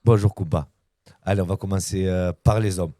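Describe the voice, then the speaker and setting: Male podcast host, friendly brief questions, lowish mellow voice. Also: fairly even intonation, moderate pace, dry recording, clean studio sound. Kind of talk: casual interview.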